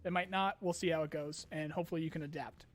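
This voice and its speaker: Man, hoarse, higher voice, rambling stream host, soft music underneath. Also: steady tone, fast talker, no room sound. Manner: rambling, many ums and restarts, apologetic. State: losing his voice, clearing throat. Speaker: streamer host answering chat questions. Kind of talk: casual live-stream Q&A.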